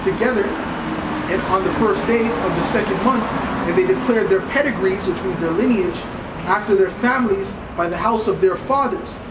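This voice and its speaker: A man's voice: sits high, normal speed. A man preaching informally on the street, explaining scripture insistently and often checking for agreement. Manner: insistent, repeatedly seeking agreement with tags like right and okay. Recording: echoey, very noisy outdoor street recording.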